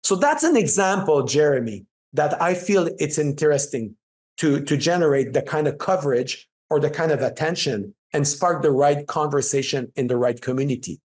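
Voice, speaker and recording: A man's voice: fairly high pitch, moderate pace. A middle-aged man with a French Canadian accent, French his first language, speaking as a guest in an interview, telling stories with emphasis and frequent restarts. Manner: animated, emphatic storytelling with restarts. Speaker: PR agency founder, guest. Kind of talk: conversational interview.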